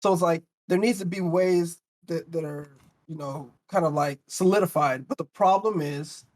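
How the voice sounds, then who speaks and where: Higher-pitched man in casual discussion. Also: normal speed. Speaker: podcast panelist.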